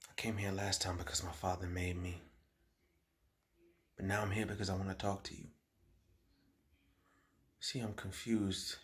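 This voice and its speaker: An actor playing a confused, grieving schoolboy son in a dramatic monologue, speaking in a halting, hushed way with heavy pauses. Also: male voice, low-pitched, little pitch movement.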